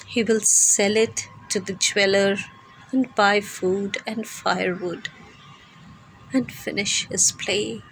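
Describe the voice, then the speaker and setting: Kindly prince statue , female reader: mournful, solemn, extremely unhurried storybook delivery. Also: medium pitch, fairly even intonation, a bit bright, slight background noise, rough recording. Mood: sorrowful, pleading. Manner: slow, solemn, repeating pleas. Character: kindly sorrowful male prince statue, gently pleading.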